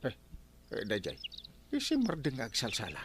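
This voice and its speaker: Actor as bumbling comic male villager, excitable stammer, very animated intonation, heavy background noise with chirping birds. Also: mid-range voice, normal speed. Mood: flustered teasing. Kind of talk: broadcast comedy drama acting.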